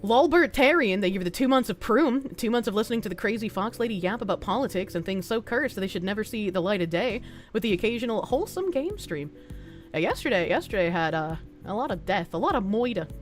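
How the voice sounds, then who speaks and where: A woman's voice: medium pitch, animated, fast, faint music behind. A woman with a mild Boston accent, chatting casually on a livestream, reading donations and answering chat in a rambling, frank, profane way with frequent restarts.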